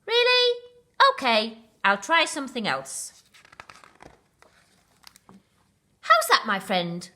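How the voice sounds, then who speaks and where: A woman's voice: a little high, huge pitch swings, measured pace, bright, forceful tone, pitched above the performer's own voice, full character voice. A woman voicing a cheerful, helpful baboon in a children's storybook, speaking in an eager, encouraging way. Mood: hopeful. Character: cheerful, helpful baboon, a loyal old friend.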